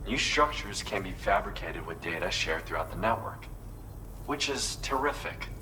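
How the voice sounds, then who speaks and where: Wistful architect, low-voiced over noise. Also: male voice, lively intonation, moderate pace, sharp tone, rough recording. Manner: earnest, explanatory, reflective. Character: wistful male architect, proud craftsman mourning his dying art. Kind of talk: video game cutscene dialogue. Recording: clear background noise under the voice.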